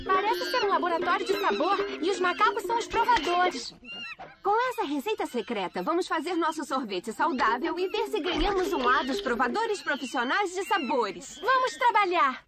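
A woman's voice: very high-pitched, very animated, moderate pace. A woman with a Brazilian accent voicing a brainy, bossy girl scientist in a dubbed cartoon. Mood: businesslike. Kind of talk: animated cartoon dub. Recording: loud cartoon music behind.

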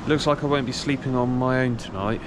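Man, thirties, understated outdoor vlogger, medium pitch, halting casual delivery, very loud wave noise behind. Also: steady tone. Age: 30s. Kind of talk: casual vlog to camera. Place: outdoors on a rocky sea ledge. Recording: loud surf and outdoor ambience. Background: waves and surf.

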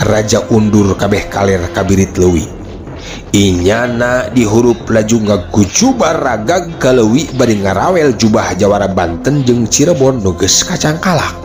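A man with a Sundanese accent narrating a folk tale as a dramatic storyteller. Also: on the low side.

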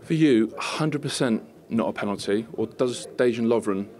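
A man's voice: mid-range voice, moderate pace, darkish tone. A man working as a sports reporter, putting persistent questions in a post-match interview.

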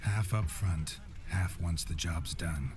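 A man voicing a gruff, weary monster hunter in a fantasy game, with a gravelly voice and terse deadpan delivery. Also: low-pitched, slow pace. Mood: dry. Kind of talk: video game voice acting.

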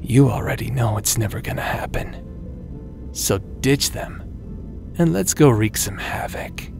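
A man acting as a taunting, manipulative villain in a dramatic audio roleplay, with a drawn-out, mocking delivery. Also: mid-range voice, expressive, creaky, over music. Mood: coaxing. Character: taunting male villain, manipulative, mocking, coldly friendly tempter.

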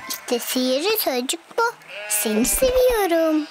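A woman voicing a sweet, affectionate, playful little girl in a children's cartoon, with gentle, cooing delivery. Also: high voice, very animated, measured pace, loud music behind the voice. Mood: coaxing, sweet.

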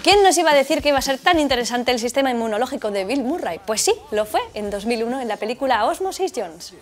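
A woman in her forties with a Castilian Spanish accent, presenting a scripted film video in a chatty, expressive way. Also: on the high side, fast, very noisy. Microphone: lapel mic. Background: music.

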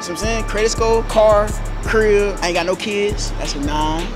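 A man's voice: sits high, normal speed, over music. A young man hosting casual street interviews, talking in playful slang with plenty of swearing. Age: young adult. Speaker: host of public interview vlog. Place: large indoor shopping mall. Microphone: wireless mic on camera shoot.

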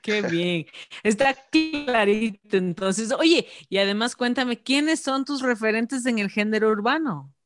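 A woman's voice: on the high side, lively intonation, unhurried, over music. A woman radio host with a studio microphone, interviewing a guest in an upbeat, enthusiastic way. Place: radio studio with branded backdrop. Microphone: studio microphone on stand.

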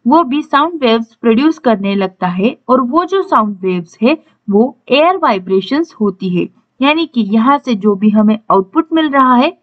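A woman's voice: on the high side, dull audio. A woman with an Indian accent teaching in an instructional way, reading out points and explaining them step by step. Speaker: electronics teacher. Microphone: voice-over on slides.

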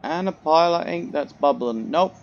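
A man doing let's play commentary in a put-on British accent, jokey, profane and loud. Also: a little high, animated, normal speed, creaky, lo-fi sound. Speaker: gamer.

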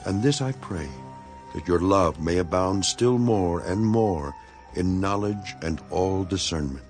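Casting: earnest imprisoned apostle, lowish voice, measured and solemn, for dramatized Bible audio with musical backing. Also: male voice, darkish tone, faint music behind. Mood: warm devotion. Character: Apostle Paul, imprisoned, earnest, devoted elder writing to his flock. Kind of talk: dramatized scripture reading.

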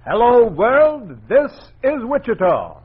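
A man's voice: very high-pitched, wildly swooping pitch, slow pace, over loud music. An American man announcing a scripted 1940s radio program in a formal, declamatory way. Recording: tinny vintage 1940s radio broadcast.

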